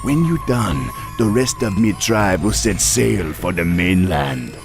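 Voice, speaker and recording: An actor playing a wily troll tribe chieftain in a fantasy game, with a gravelly Caribbean accent and a drawling, commanding manner. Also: male voice, low voice, very animated, slow, exaggerated voice. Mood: pleased, cautioning. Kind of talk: fantasy game character acting. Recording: music under the voice.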